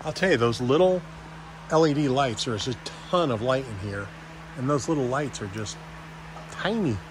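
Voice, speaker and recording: Guy realtor chatting through a tour, casual and folksy, mid pitch, bit of noise behind him. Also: animated, moderate pace. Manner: folksy, offhand, jokey. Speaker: real estate agent giving a property tour. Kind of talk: casual unscripted walkthrough commentary.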